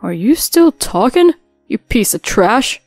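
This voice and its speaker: A woman's voice: medium pitch, expressive, normal speed, a little mellow, no room sound, close to an everyday voice. A stern older father-in-law scolds with open contempt, voiced by a woman storyteller. The delivery stays fairly level and dry, with light music behind. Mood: furious.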